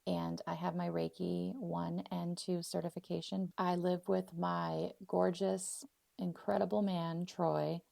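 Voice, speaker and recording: A woman's voice: fairly low pitch, little pitch movement, unhurried, gravelly, darkish tone, no echo, clean studio sound. A 39-year-old woman with an American accent hosting a casual, personal solo podcast, speaking off the cuff in effusive, heartfelt, spiritual phrasing. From Arizona, US; born in Poland. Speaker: podcast host.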